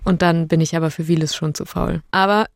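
A young woman hosting a casual podcast chat, chatty and relaxed, mixing English words into her speech. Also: fairly low pitch, brisk, creaky, dry recording, clean studio sound. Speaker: podcast host. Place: studio.

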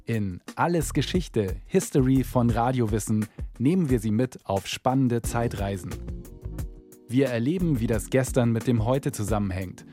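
A male narrator with a warm, mellow voice at medium pitch. He reads a scripted podcast promo over soft music. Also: animated, moderate pace. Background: faint music.

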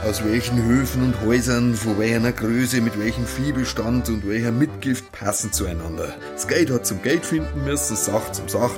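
A man's voice: medium pitch, lightly acted. An actor playing an insistent, scheming young village man in a radio drama, explaining emphatically with a Bavarian accent.

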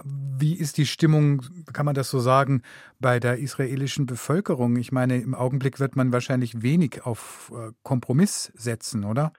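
A man's voice: medium pitch, steady tone, moderate pace, a little mellow, no room sound, studio quality. A man interviewing a guest for broadcast, asking carefully phrased, probing questions.

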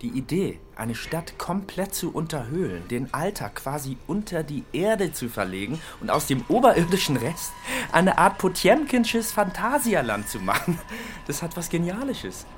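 An actor playing a smooth-talking, needling office boss in a radio drama, ironic and insinuating as he prods a colleague. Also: fairly high pitch, sing-song, moderate pace, soft background music. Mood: persuasive.

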